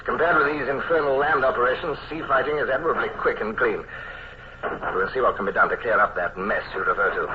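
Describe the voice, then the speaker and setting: An actor playing a wry, impatient naval commodore in an old radio drama, also narrating, with a clipped officer's manner. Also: male voice, medium pitch, fast, lightly acted. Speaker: narrator and lead. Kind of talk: 1950s radio drama acting. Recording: tinny, hissy old radio broadcast.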